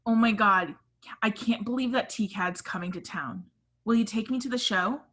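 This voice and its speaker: Woman as bright, gushing lovestruck teen girl. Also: medium pitch, moderate pace, husky. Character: gushing, lovestruck teenage girl, eager and excitable. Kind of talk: table read of a comedy screenplay.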